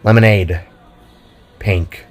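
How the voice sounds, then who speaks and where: Male narrator as sullen younger sister, twenty, tired, sulky, slightly low voice, narrated fantasy story. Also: steady tone, slow, faint music behind. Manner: sulky, hesitant. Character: sullen, weary twenty-year-old woman, younger sister.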